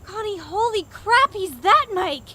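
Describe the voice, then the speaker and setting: A woman playing an excitable, cocky young girl fighter in a zombie apocalypse fan audio drama, exclaiming gleefully. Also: extremely high pitch, a little slow, exaggerated voice. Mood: gleeful, amazed.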